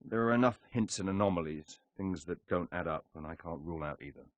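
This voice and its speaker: A man's voice: low voice, fairly quick, no echo, lightly acted. A man with a British accent playing a composed, determined secret agent in a game cutscene, speaking in a terse, dry, businesslike way. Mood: businesslike, resolute.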